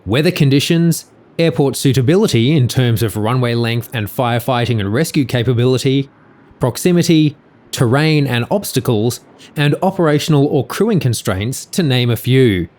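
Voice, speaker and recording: A man reading scripted explanatory narration in a measured, informative way. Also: mid-range voice, expressive, a little mellow.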